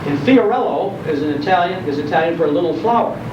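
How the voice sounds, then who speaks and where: An older American man with a fairly high voice reminisces before an audience in a folksy storytelling way. He repeats phrases for emphasis. The recording is echoey, distant and noisy. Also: lively intonation, fast, lo-fi sound. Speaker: guest speaker on old-time radio history. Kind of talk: informal nostalgic talk to an audience.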